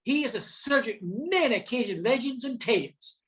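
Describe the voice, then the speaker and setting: Older woman narrator, bright clear-cut voice. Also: fairly high pitch, lively intonation, a little fast. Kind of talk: scripted storytelling narration.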